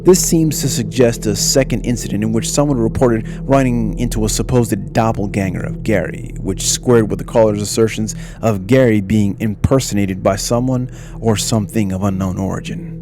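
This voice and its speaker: A man's voice: fairly low pitch, moderate pace, few pauses, noisy background. A man reading scripted narration for a mystery documentary.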